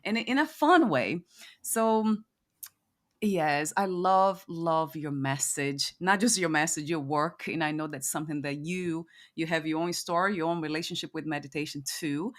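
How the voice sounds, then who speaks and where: A woman's voice: low-pitched, normal speed. A woman hosting a reflective conversational interview, musing aloud and often searching for her words. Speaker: podcast host interviewing a guest.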